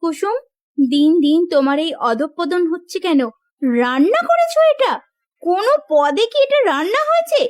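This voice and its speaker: A woman playing a domineering, scolding sister-in-law in a Bengali village cartoon, with a sharp, nagging manner. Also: very high-pitched, very animated, moderate pace. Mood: accusing.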